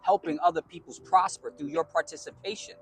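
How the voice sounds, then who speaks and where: A man's voice: a little high, a little fast, a little hiss or hum. A man from the Bronx with a New York accent, talking animatedly as an interview guest, sometimes half-singing his lines. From the Bronx, New York City. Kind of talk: casual interview.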